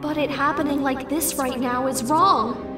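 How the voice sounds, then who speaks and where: Female cartoon oracle played by a woman: high, solemn, measured, formal, sounding far off with music behind. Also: fairly even intonation, natural voice. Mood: grave. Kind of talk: cartoon fan dub acting. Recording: distant echoing voice under music.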